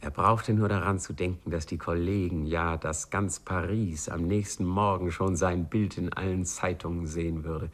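A man's voice: low-pitched, fairly even intonation, normal speed, few pauses, natural voice. A man narrating a 1950s German radio play as a wry literary storyteller.

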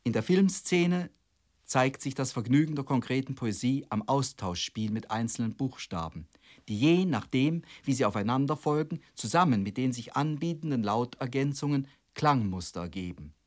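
A man with a medium-pitched voice delivers a scripted lecture for radio. He speaks as a commentator, in a formal, measured manner. His long sentences are carefully and precisely phrased. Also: expressive.

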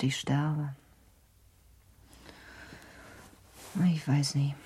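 An actress playing a gravely ill young woman in a radio drama, breathy and weak, serene and resigned to dying. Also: sits low, dark tone, heavily acted. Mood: calm, faint. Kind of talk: German radio drama acting.

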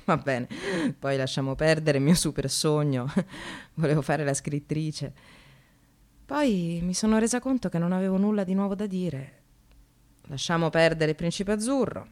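An actress playing a sardonic, separated working mother in a radio monologue, confessional and swinging from hushed to vehement. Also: low voice, a little slow. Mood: self-mocking. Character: Sara, bitter, self-mocking separated mother in precarious work.

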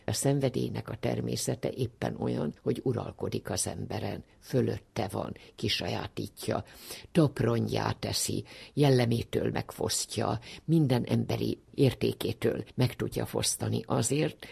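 An older speaker giving a reflective, explanatory talk in an emphatic, didactic way, with a gravelly, husky voice. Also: medium pitch, darkish tone.

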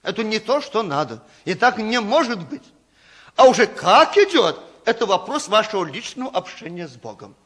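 High-voiced, lively man preaching. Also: normal speed. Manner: hesitant fillers, asks the congregation questions. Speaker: pastor. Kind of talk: conversational sermon.

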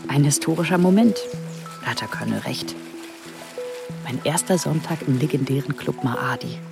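An actress playing a naive, curious seventeen-year-old secretary in a radio drama, acting and narrating in a questioning way. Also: female voice, low voice, lively intonation, normal speed, gravelly, music in the background. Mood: reflective, impressed. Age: young adult.

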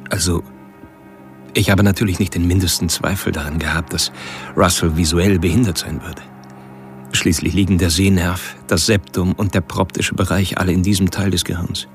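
Cold erudite murderer, low pitch, measured pedantic delivery, radio play monologue, clear music underneath. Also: male voice, steady tone. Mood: smug, detached. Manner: pedantic, measured, addresses the listener directly. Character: cold, erudite male serial killer musing on brains. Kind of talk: scripted radio drama monologue.

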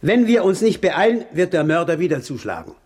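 An actor playing an obsessed, self-assured engineer and war veteran in a radio play, talking in deliberate, dramatic, insistent phrases. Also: male voice, high voice, very animated, a little mellow, slightly rough, exaggerated voice. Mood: urgent, convinced.

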